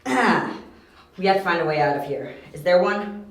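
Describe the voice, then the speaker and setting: A man playing a sharp, confident young detective who explains and insists, in an amateur audio drama. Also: high voice, expressive, moderate pace. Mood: urgent. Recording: very echoey, roomy amateur recording.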